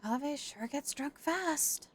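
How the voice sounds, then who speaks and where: Animated high-voiced woman livestreaming. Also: slow. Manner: animated, exclamatory, chatty. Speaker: game livestreamer. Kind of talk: casual livestream chat, reacting to game and viewers. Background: game music.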